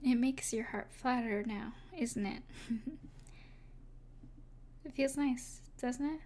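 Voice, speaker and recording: A woman playing an evil sorceress, possessive and tenderly devoted, speaking softly and deliberately in an intimate ASMR roleplay. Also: a little high, creaky, a little hiss or hum. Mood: tender, seductive. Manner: soft, deliberate, soothing yet possessive.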